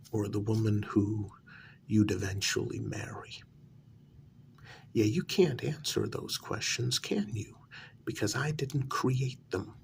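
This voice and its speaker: A man acting as a frustrated, delusional psychiatric patient in an ASMR role-play. His voice is soft and breathy, slipping into whispers, with a gravelly fry. He speaks slowly and haltingly, as if sure he made this world, over faint background noise. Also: on the low side. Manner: conversational, halting, pointedly questioning.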